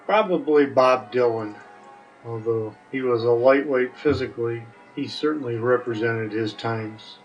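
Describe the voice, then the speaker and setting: Older man answering haltingly, slightly distant. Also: medium pitch, muffled recording, faint music behind. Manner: hesitant, halting, dry humor. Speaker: recurring interview guest. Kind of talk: casual question-and-answer chat.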